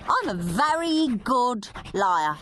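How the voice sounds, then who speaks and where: A woman acts out a naughty British boy in a children's audiobook, gleefully sending up his goody-goody brother. The voice is fairly high and swoops about. Words are dragged out very slowly to mock, over faint noise. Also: very animated, a bit bright, heavily acted. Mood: gloating. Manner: mocking, drawn-out, gleeful. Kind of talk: children's audiobook character reading.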